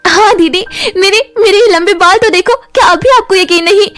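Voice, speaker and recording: A woman's voice: very high-pitched, moderate pace, a bit bright, faint music behind, pitched above the performer's own voice. A woman voicing a timid, sweet young schoolgirl in a children's storybook tale, earnest and wide-eyed. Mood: happy, eager.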